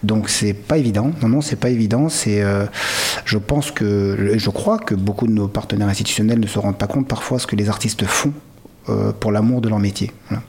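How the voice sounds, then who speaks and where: A man's voice: fairly low pitch, brisk, darkish tone, husky. A man in his forties from France speaking as a guest in a conversational interview, reflective and hesitant, often rephrasing himself mid-sentence. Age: 40s. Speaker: radio guest, performing company director.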